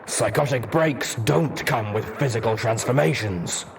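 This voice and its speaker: A man's voice: mid-range voice, a little slow, creaky, voice pushed up. A man voicing a grim armored ghoul pony soldier, bitter and guarded, with a stern, weighty delivery. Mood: cold accusing. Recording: long reverb, faint noise.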